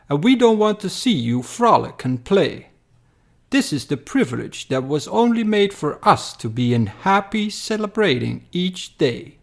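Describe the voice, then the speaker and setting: Storybook villain: smug otherworldly overlord, greedy and commanding, pitched up by a male narrator. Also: heavily acted.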